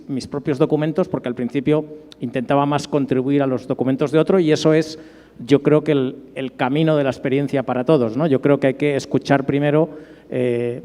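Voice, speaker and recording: Middle-aged male panelist, Spain accent. Relaxed warm timbre, informal delivery, big-hall echo. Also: medium pitch. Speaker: conference panelist. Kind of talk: informal personal recollection on a panel. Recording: long hall reverberation.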